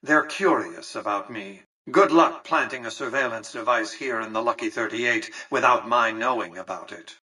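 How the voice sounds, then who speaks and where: A pompous, forceful tycoon autocrat, husky. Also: male voice, medium pitch, distant mic, poor audio. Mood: haughty. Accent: American. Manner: pompous, precise, condescending, measured. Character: imperious, calculating aged male tycoon autocrat. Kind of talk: scripted video game dialogue.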